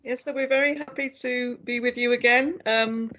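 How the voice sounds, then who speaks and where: A woman's voice: on the high side, fairly even intonation, moderate pace, sharp tone, poor audio. A woman from Britain who teaches English, introducing an online workshop over a video call with a few filler sounds. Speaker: English lecturer.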